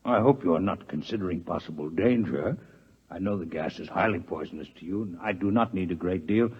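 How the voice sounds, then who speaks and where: An actor playing a courteous, scholarly alien doctor in a 1950s radio drama, speaking formally, precisely and gravely in a husky voice. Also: male voice, deep voice, fairly quick, full character voice. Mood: measured, courteous. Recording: muffled vintage 1950s radio recording.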